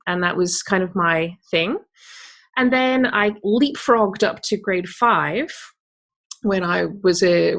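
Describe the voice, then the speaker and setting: A woman in her thirties from Scotland, her accent softened by years abroad, answering interview questions as a tech coach in a candid, upbeat way, with a ready laugh and lots of "you know". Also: medium pitch, vocal fry. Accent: Scottish, softened by years abroad.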